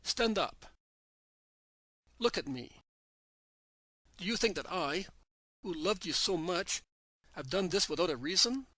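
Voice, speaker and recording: A man voicing a calm, grave male teacher who consoles and commands in a devotional story reading. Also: fairly high pitch, no room sound. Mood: firm commanding. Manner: measured, solemn, consoling.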